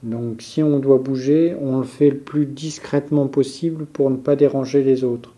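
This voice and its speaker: A man leading a guided yoga nidra relaxation as a teacher, giving calm, measured instructions with pauses. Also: medium pitch.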